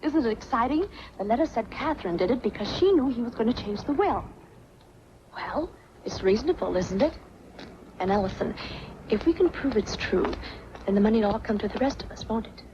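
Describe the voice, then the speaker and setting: Actress as a high, kittenish young gossip. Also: female voice, brisk, lightly acted. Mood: greedy, coy. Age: young adult. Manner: coy, breezily excitable. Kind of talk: 1940s film noir acting. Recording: dull, faintly noisy 1940s film soundtrack.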